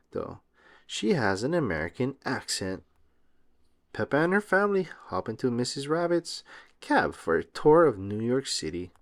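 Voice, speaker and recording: Male storybook narrator for young children, expressive and very lively in pitch. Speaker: read-aloud host. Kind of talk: children's picture book read-aloud, scripted narration.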